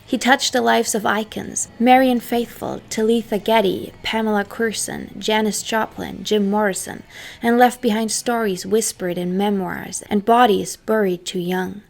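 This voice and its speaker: A woman who is not a native English speaker, hosting her own channel and narrating a scripted story in a dramatic, hushed style, her phrases often trailing off into a whisper. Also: mid-range voice, steady tone, unhurried, creaky. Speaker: history channel host.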